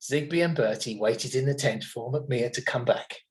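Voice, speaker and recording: A man narrating a children's storybook in an expressive, dramatic manner. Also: medium pitch, normal speed. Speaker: children's story reader at a primary school.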